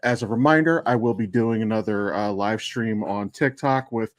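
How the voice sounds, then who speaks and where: A man's voice: fairly low pitch, moderate pace, no room sound, clean studio sound. An American man co-hosting a podcast in casual, rambling, sweary chat. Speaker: podcast co-host and voice actor.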